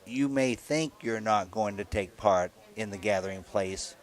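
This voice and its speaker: Older guy, low voice, co-hosting a radio telethon, lots of uh and restarts. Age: 60s. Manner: halting, many fillers and restarts. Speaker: telethon co-host. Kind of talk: live radio telethon chat.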